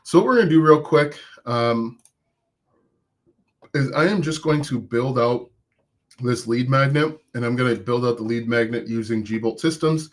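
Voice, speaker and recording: A man's voice: mid-range voice, moderate pace. A man coaching viewers live about online business, talking conversationally and encouragingly with frequent restarts, and saying he has an accent of his own. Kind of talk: live-stream coaching, answering chat.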